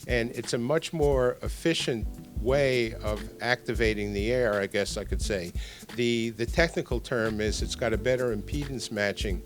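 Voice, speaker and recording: A man's voice: medium pitch, moderate pace, faint music behind. An older man being interviewed as a product designer, explaining technical details and repeating words for emphasis.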